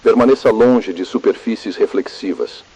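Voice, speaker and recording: A Brazilian man playing a grave, calm, ominous emergency broadcast announcer in a scripted analog horror, husky-voiced, solemn, measured and formal. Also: lowish voice, noisy background, lightly acted. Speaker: narrator.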